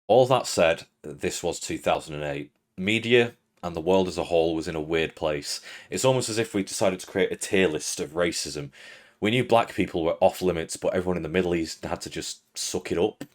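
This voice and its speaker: Deep-voiced British man giving scripted commentary. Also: a little fast. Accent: British English. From Britain. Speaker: video essay host.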